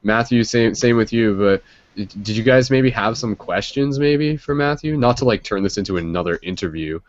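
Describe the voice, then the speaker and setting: A man's voice: mid-range voice, normal speed. A man hosting a casual hangout chat, rambling with lots of fillers. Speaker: host. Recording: dry dull lo-fi audio.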